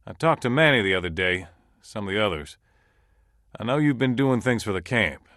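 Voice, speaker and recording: A man's voice: fairly low pitch, lively intonation, normal speed, a bit bright, no room sound, studio quality. A man acting a folksy, commanding survivor camp leader in a video game, speaking plainly and naturalistically. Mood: sincere, subdued.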